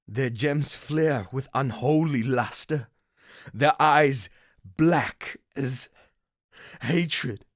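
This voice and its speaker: An actor playing a grave, bitter writer in a game, delivering dramatic monologue in a solemn, emphatic way. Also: male voice, mid-range voice, no room sound, studio quality.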